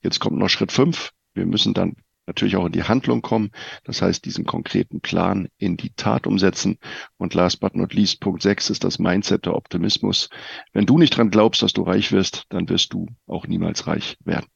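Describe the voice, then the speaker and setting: A man's voice: low-pitched, steady tone, normal speed, vocal fry, husky, dry recording, lo-fi sound. A middle-aged man giving direct, informal advice as a guest financial coach, explaining things with everyday examples. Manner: direct, informal, addresses listener as you.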